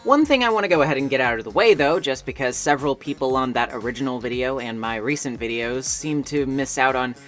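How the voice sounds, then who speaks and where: A man's voice: medium pitch, a bit bright. Man narrating a video essay over music. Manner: articulate, wordy, wry asides.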